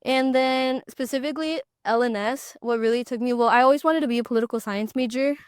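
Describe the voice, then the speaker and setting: Smooth-voiced young woman co-host. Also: on the high side, fast talker, dry recording, clean studio sound. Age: young adult. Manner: friendly, easygoing, light laughs. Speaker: podcast co-host, student ambassador. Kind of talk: casual chat.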